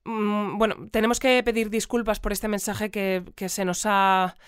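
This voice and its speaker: An actress playing a polite, flustered community radio presenter, speaking formally but hesitantly in an audio drama. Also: female voice, mid-range voice, flat delivery, moderate pace, smooth, no room sound, clean studio sound, lightly acted. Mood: flustered, composed.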